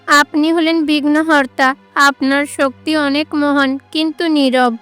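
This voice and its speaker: A woman's voice: sits high, steady tone, measured pace, fairly bright tone, soft background music, pitched above the performer's own voice. A woman voicing a small, loyal cartoon mouse who pleads and consoles with reverence. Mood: concerned, consoling. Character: small devoted mouse, loyal servant and mount of a god.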